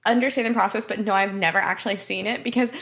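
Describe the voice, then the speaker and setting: A woman's voice: mid-range voice, steady tone, quick pace, vocal fry, fairly bright tone. A woman co-hosting a podcast in casual banter, asking questions and joking in informal, slangy language.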